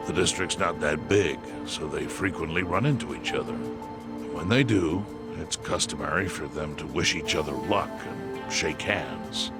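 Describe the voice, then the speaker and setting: A low, gravelly older chairman in a video game dub. He talks calmly and deliberately, a dry schemer explaining his plan, with loud music underneath. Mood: calm persuasion.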